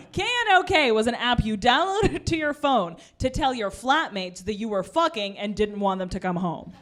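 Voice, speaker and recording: A woman in her twenties from Russia, doing a stand-up comedy set with a deadpan, ironic delivery and quick asides. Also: on the high side. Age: 20s. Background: audience laughter.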